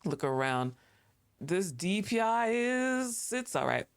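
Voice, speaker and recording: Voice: mid-range voice, big pitch swings, slow pace, clean tone. A streamer chatting casually and thinking aloud while playing a game, laughing often and talking back at the screen. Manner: thinks aloud with fillers and restarts.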